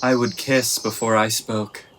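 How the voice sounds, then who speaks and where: A woman playing an easygoing, smitten young male lover in a Shakespearean radio play, courtly and unhurried. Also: rumbling low, flat delivery, slight background noise. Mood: fond, apologetic.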